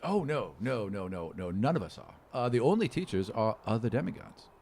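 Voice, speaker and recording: A man's voice: fairly low pitch, very animated, fairly quick, a little mellow, slight background noise. A man playing a fussy, watchful inquisitor in a tabletop game, speaking in a hesitant, officious way. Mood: matter-of-fact. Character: fussy bureaucratic male inquisitor, watchful, matter-of-fact.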